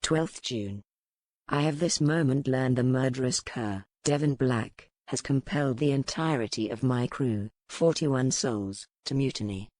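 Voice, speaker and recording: Narrator acting a stern old sea captain, low-pitched. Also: a little slow, a little mellow, no echo, natural voice. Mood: wrathful, defiant. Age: older. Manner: clipped, severe, righteous. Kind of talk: formal ship's log read as dramatic narration.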